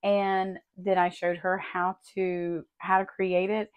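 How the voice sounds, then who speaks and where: A woman with a lowish voice hosts a design tutorial. Her voice is still slightly raspy as it comes back. She chats warmly and walks through each step one at a time. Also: flat delivery, takes it slowly, dull audio. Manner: friendly, chatty, step-by-step explaining. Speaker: craft tutorial host. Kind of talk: casual tutorial walkthrough.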